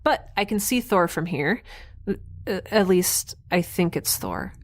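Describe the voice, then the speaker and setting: A woman's voice: on the low side, sing-song, measured pace, exaggerated voice. A woman playing a defiant, wry young rebel on the run in a science fiction audio drama, chatty and given to sarcastic asides. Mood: casual, rambling.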